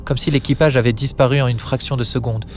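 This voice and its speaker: A man narrates dark audio drama with a solemn delivery and little melodic movement. His phrases are short and heavy with suspense, and he speaks in a studio over a clear music bed. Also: mid-range voice, fairly even intonation, fast talker.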